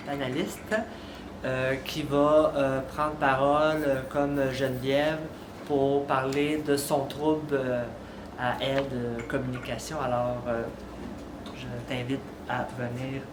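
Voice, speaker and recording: Slow, halting male event host. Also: mid-range voice. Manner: halting, hesitant phrasing. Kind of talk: introducing panelists. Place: echoey room at a panel event. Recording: echoey room, clear background noise.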